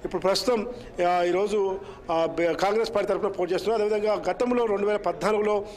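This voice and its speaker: A man giving a live political news report in a halting, repetitive way, stumbling over his words. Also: sits high, fairly even intonation, a little mellow. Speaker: field reporter.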